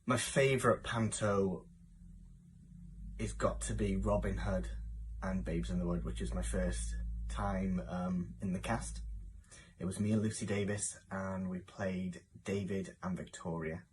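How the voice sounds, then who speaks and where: A man's voice: sits low, fairly even intonation, a little slow, poor audio. A man from an amateur pantomime cast, informally recalling a favourite show, with a faint hum behind him. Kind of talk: spoken recollection to camera.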